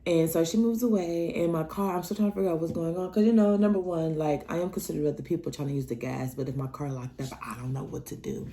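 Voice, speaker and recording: A woman's voice: fast, hardly any pauses. A young woman from Nebraska telling personal stories in a casual vlog, acting out the dialogue with big jumps in her voice. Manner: animated, acts out dialogue with big pitch jumps. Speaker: vlogger.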